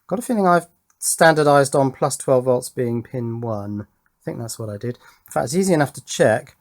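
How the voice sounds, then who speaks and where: A middle-aged man with a mid-pitched voice presents a hobby electronics build. He talks casually as he works, thinking aloud. His manner is chatty, with small questions tagged on to his own remarks. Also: animated, normal speed. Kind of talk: casual running commentary on a hands-on build.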